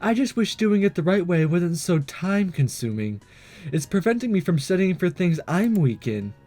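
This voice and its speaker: Man reading as an earnest, high-voiced schoolgirl class rep. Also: big pitch swings, moderate pace, mellow, faint music behind. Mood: conflicted, relieved. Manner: hesitant, careful, formal wording. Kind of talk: visual novel dialogue read aloud.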